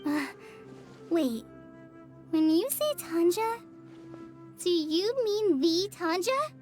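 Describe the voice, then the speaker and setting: A kind teenage girl ranger from a video game, with a very high, youthful voice. She sounds earnest and gentle, eager to reassure and help. Faint music sits behind her. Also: expressive, slow, heavily acted. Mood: polite, helpful. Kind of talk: animated video game voice acting.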